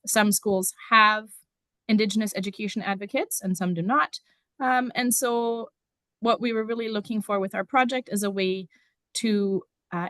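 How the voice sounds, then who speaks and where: German-born teacher explaining, dry sound. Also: female voice, mid-range voice, fairly bright tone, no echo. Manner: casual and explanatory, with frequent ums. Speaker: district numeracy teacher. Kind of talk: conference presentation.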